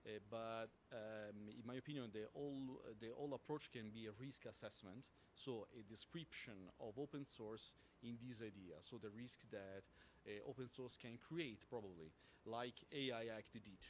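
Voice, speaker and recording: A man with an Italian accent, a native Italian speaker, answering as a panelist, halting and searching for words with many fillers. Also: fairly low pitch, a little hiss or hum. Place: conference hall panel.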